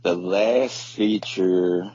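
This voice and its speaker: Male podcast co-host, low husky voice, slangy, agreeable, repetitive phrasing, casual, slightly lo-fi microphone. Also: very slow, mellow. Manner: slangy, agreeable, repeats himself. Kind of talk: casual chat. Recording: dull, slightly lo-fi microphone sound.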